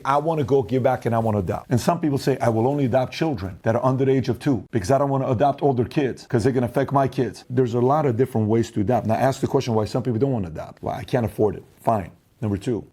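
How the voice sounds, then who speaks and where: A middle-aged man delivers an argumentative monologue, pressing his points with emphasis. His voice is warm and mellow, and he slips into mocking quotes of the other side. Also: medium pitch. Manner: emphatic, argumentative, acts out opponents in quick quoted asides. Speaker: host. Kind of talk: opinion monologue to camera.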